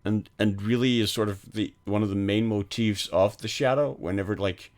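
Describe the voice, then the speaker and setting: A man's voice: fairly low pitch, steady tone, moderate pace, a little mellow. A man co-hosting a film podcast, talking casually and rambling, with frequent "like" and "um" fillers. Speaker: podcast co-host.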